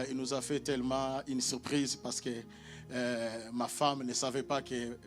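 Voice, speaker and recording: Guy preaching in a church hall, lots of amens, calls out to the crowd, faint music behind. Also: medium pitch. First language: Portuguese. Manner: emphatic call-and-response with frequent amens. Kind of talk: sermon.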